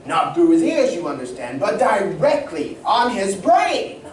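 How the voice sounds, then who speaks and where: A woman playing a proud, excitable, learned inventor in old radio serial style, speaking in a theatrical, professorial way. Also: medium pitch, unhurried. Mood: earnest, explaining. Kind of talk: 1940s radio serial acting. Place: community playhouse stage reading. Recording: distant mic, echoey room, background noise.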